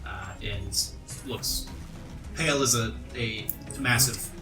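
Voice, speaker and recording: A man's voice: fairly low pitch, takes it slowly. A man narrating a tabletop role-playing game as game master, in a descriptive storytelling way. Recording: roomy echo, distant mic, music underneath. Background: music bed.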